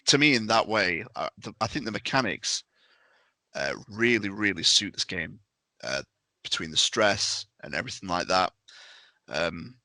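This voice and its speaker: Bright, low-voiced enthusiastic man. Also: no echo. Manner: enthusiastic, chatty. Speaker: podcast panellist. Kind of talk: casual review discussion.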